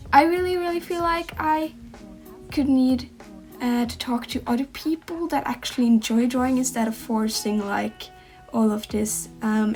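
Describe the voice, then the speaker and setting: A Norwegian teenage girl with a Norwegian accent, speaking English as a second language and chatting casually to camera with many pauses and ums. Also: a little high, darkish tone. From Norway. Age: teens. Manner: rambling, hesitant, frequent ums. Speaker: art channel host.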